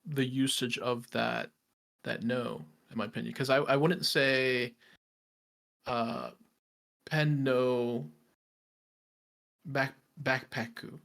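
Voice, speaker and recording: Man, learner in a voice chat, insistent, argumentative, repeats himself, medium pitch. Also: steady tone, takes it slowly, gravelly, pauses often, fairly bright tone. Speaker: learner on a study stream. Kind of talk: casual voice chat.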